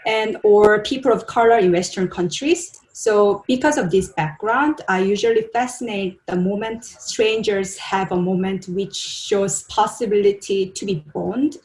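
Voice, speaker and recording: A woman's voice: medium pitch, unhurried, few pauses, darkish tone, roomy sound, poor audio. A filmmaker woman from Korea, speaking English carefully and earnestly in simple phrases. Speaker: short filmmaker.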